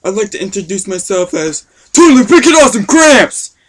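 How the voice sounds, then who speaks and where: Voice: medium pitch, sing-song, moderate pace. Someone putting on a folksy old gramps telling a rambly made-up story, slangy young wording. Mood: jovial, rambling. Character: totally wicked awesome old grandpa telling a tale.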